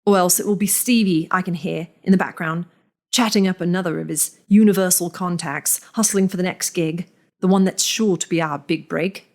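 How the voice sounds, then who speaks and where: Low-voiced woman reading a story slowly. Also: darkish tone. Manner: measured, deliberate reading with lingering pauses. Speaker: narrator. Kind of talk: scripted first-person story narration.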